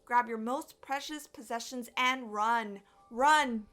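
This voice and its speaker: A woman voicing alarmed townspeople in a children's storybook, exclaiming loudly and urgently. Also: on the high side, slow, faint music behind. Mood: panicked.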